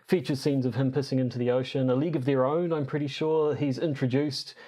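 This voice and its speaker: A man's voice: mid-range voice, steady tone, brisk, warm tone. A man co-hosting a podcast in casual, bantering chat, with dry, self-deprecating humour. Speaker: podcast co-host. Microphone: lapel mic.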